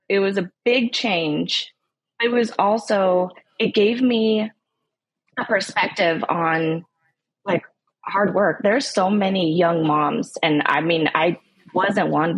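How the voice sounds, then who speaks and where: A woman in her thirties from Southern California, a podcast guest who speaks in a warm, reflective way with many ums. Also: lowish voice, slightly rough, no room sound, poor audio. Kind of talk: conversational interview.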